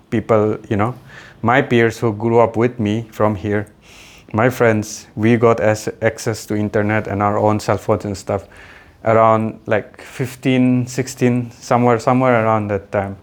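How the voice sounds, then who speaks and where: A man in his thirties from India, a native Adi speaker, talking in a rambling, reflective vlog monologue full of fillers and restarts. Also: mid-range voice. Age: 30s. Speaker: vlogger.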